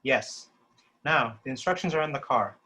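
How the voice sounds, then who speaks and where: A man playing a brisk, pushy office boss in his thirties at a screenplay table read. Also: medium pitch, pushed and bright, natural voice. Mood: reassuring, pushy.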